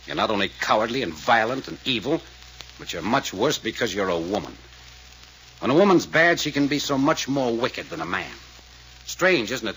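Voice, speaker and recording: A calm, commanding detective. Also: male voice, mid-range voice, slight background noise, poor audio. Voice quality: measured, authoritative. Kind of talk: 1940s radio acting.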